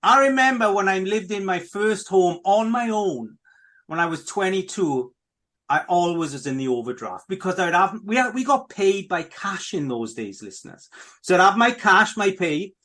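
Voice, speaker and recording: Chatty high-voiced male podcast host. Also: animated, normal speed, fairly bright tone. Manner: chatty, repetitive, keeps addressing listeners. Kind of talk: casual radio chat.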